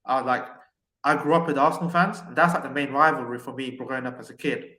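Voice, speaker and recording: A man's voice: mid-range voice, fast, sharp tone, echoey room, rough recording. A man calling in to a football show, talking casually and animatedly with many restarts. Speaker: caller.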